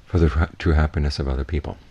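A man teaching in a guided meditation, giving measured, unhurried instructions with long pauses. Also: rumbling low, fairly even intonation, mellow, breathy edge, dull audio. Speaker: Buddhist monk, meditation teacher.

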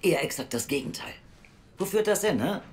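An actor playing a stern, moralising adoption official who questions formally and reproachfully in an audio drama. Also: male voice, high-pitched, lively intonation, a little fast, a little hiss or hum. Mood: disapproving. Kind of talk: naturalistic German audio drama acting.

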